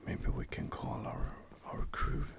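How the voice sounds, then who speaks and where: A man whispering softly and rambling as he thinks aloud, doing ASMR game commentary close to the microphone. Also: measured pace, slight background noise. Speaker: streamer playing a roleplay game.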